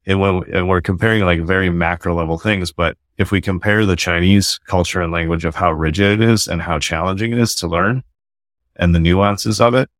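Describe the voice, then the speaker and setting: A man's voice: low voice, fairly even intonation, fairly quick, fairly bright tone, no echo, studio quality. A man, a guest author and company founder, explaining his ideas earnestly in a casual podcast conversation and often restarting his sentences. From United States.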